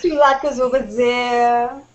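A woman in her thirties hosts a cooking show from her home kitchen, talking through each step on a video call. Her voice sits fairly high, and she chats easily as she cooks. Her webcam audio carries a clear layer of noise. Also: level tone, takes it slowly. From Trinidad and Tobago. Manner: chatty, laughing, narrating her own steps. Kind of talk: live cooking demonstration chat.

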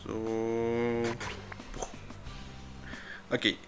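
A man gives casual let's-play commentary, halting and thinking aloud as he plays. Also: mid-range voice, completely flat delivery, over music. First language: Spanish. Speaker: gamer commentating his play.

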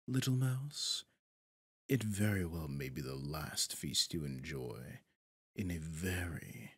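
A suave, possessive devil, played by a man for roleplay audio, speaks in a deep, breathy voice right up on a dry microphone. He takes his time, drawing out phrases with pompous, theatrical condescension and sinking often into near-whispers. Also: no echo, full character voice. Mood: silky menace. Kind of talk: roleplay audio drama, ASMR-like monologue. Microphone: close, dry studio microphone.

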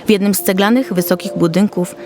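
A woman's voice: mid-range voice, steady tone, quick pace, background noise. A woman narrator reading a scripted children's story.

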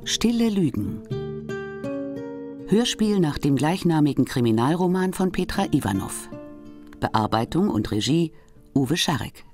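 A woman's voice: low voice, sing-song, a little slow, long pauses. A woman announcer reading scripted titles and scene settings for a radio play. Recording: guitar music behind.

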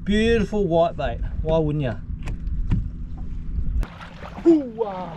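An Australian man in his thirties talks over a high, lively voice as he fishes from a kayak, exclaiming often at each catch. He's recorded outdoors on an action camera, with loud wind and water noise all around. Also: slow. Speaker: fishing vlogger. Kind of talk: excited fishing commentary. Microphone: action camera on the boat.

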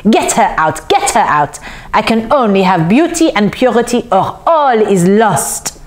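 A woman voicing a rotund, pompous male fashion designer in a storybook, shrill, theatrical and imperious. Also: sing-song, unhurried. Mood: shrill frenzy.

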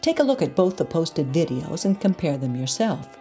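A woman hosting a podcast, reading a scripted case report and introduction in a measured, earnest way. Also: sits low, sing-song, warm tone, soft background music. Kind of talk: scripted narration.

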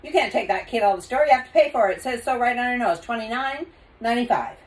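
A woman's voice: a little high, lively intonation, fast talker, distant mic, natural voice. A woman voicing a stubborn male cashier in a storybook, emphatic and insistent.

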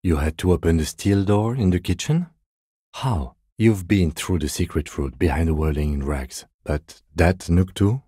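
Calm middle-aged police detective from a video game, super deep smooth voice, measured and restrained. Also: male voice, no room sound, clean studio sound. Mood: probing, serious. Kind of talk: video game voice acting, understated dialogue.

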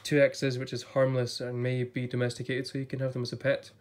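Man, casual vlog chat, getting over an illness, enthusiastic, restarts a lot, mid-pitched voice. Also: completely flat delivery, a little fast, a little mellow. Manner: chatty, enthusiastic, thinking aloud with restarts. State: voice a bit off from recent illness. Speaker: YouTuber reviewing a book. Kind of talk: casual book flip-through vlog. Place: indoors at a table before a blue backdrop.

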